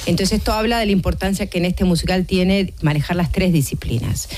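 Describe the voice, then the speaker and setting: A woman's voice: on the low side, brisk, darkish tone, a little hiss or hum. A woman speaking as a theatre producer in an interview, explaining things emphatically and with enthusiasm.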